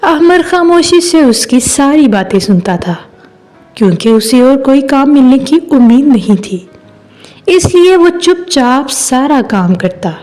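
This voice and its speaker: Slow, high-voiced woman telling a story. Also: lively intonation, a little mellow, poor audio. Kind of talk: scripted story narration. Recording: music behind the voice. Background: background music.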